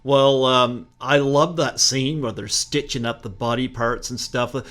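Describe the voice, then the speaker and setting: A man's voice: medium pitch, steady tone, measured pace, a bit bright. Easygoing male podcast host. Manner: easygoing, laughs, fills with uh and um. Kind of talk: casual interview chat.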